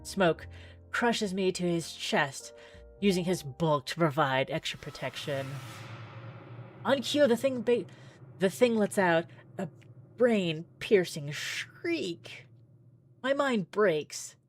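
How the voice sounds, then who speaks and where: A woman reads story text from a visual novel aloud in a fairly low voice. Her delivery is theatrical and deliberate, with pauses for effect. Music plays softly underneath her. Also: animated. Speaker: streamer playing a visual novel demo. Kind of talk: dramatic read-aloud of narrative game text.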